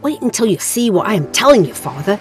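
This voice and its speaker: A woman's voice: mid-range voice, sing-song, fast, soft background music, lightly acted. A woman playing an earnest, superstitious villager in an audio drama. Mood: insistent, spooked.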